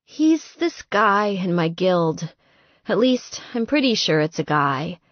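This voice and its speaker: A woman's voice: fairly low pitch, big pitch swings, takes it slowly, dry recording, highs cut off, full character voice. A woman voicing a sweet, distressed young woman gamer in an audiobook, plaintive and exasperated. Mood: hesitant explaining. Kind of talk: audiobook character dialogue.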